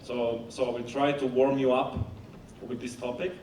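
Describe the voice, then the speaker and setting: A man with an Italian accent speaking as a company founder on a conference panel, halting and full of fillers and restarts. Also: level tone, a little mellow. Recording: echoey hall, distant mic, rumble.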